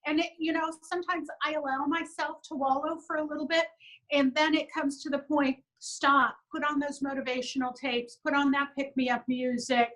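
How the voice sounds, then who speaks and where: Upbeat middle-aged woman, high bright voice, chatty interview guest on a video call. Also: moderate pace, poor audio. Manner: upbeat, earnest, quotes others in lively voices. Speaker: business owner, interview guest. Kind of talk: casual conversational interview.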